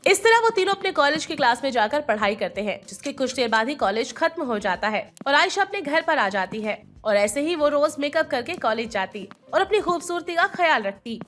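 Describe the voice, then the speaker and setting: A woman reading scripted narration for an animated moral story. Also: high voice, brisk, hardly any pauses.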